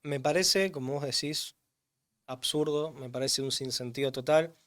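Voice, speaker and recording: A man's voice: mid-range voice, steady tone, normal speed, fairly bright tone. A man, a strength coach who explains things emphatically in an interview, repeating words for weight. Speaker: strength coach for older adults, guest. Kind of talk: interview answers.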